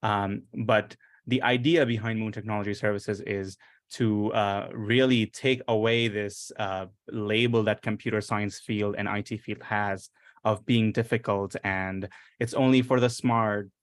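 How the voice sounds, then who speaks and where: A young man from India introducing himself on a panel, earnest and steady, with frequent fillers. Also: on the low side, a little slow, no echo, clean studio sound. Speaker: panelist, business owner.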